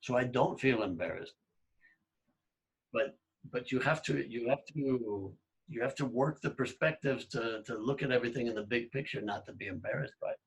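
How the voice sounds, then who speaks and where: A middle-aged man hosting a podcast, talking in a casual, halting way with many restarts. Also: medium pitch, breathy edge, rough recording. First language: English. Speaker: podcast host. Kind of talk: casual conversation.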